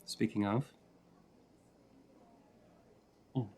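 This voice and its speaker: A man's voice: brisk, a little hiss or hum, close to an everyday voice. Man acting a shy, rambly coffee-shop regular. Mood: nervous, earnest. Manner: hesitant, rambling, softly flirty. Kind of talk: intimate audio roleplay, conversational.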